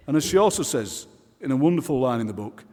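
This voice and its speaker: Male presenter, rhetorical and emphatic, mid-pitched, giving a stage introduction with long hall reverb. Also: lively intonation, fast, warm tone. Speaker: poetry event presenter. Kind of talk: spoken introduction to a live audience. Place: large concert hall stage.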